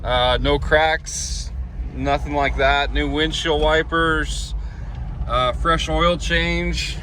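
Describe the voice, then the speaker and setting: A man giving a casual walkaround sales pitch as a truck seller, plain-spoken and in short clipped phrases. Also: fairly even intonation, drawn-out words, sharp tone, a little hiss or hum. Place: outdoors around a truck and inside it while driving.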